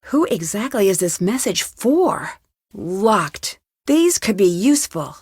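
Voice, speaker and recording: A young woman detective from an adventure game, recorded very dry and close. She talks to herself in clear, practical, curious remarks, with a medium pitch and steady determination. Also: takes it slowly, no room sound, heavily acted. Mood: determined. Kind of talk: scripted adventure game dialogue, thinking aloud.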